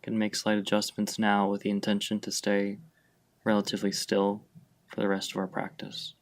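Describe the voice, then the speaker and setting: A man leading a guided meditation, giving gentle, unhurried instructions with long pauses between phrases. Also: on the low side, monotone, gravelly. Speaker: meditation guide, mindfulness fellow. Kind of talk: guided meditation, slow and spacious.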